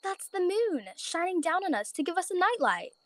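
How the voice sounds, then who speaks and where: A gentle mother rabbit, put on by a woman, soothing her frightened child at night. Her voice is soft and patient, fairly high and lilting, the sound of a bedtime story. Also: very animated, normal speed, dry recording.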